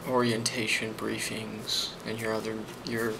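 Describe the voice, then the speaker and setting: Creaky, soft-spoken man as a friendly office boss. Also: lowish voice, completely flat delivery, a little slow. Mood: calm, casual. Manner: soft-spoken, hesitant, reassuring. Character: friendly, rambling office boss giving a performance review. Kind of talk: ASMR role play. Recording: loud constant background noise.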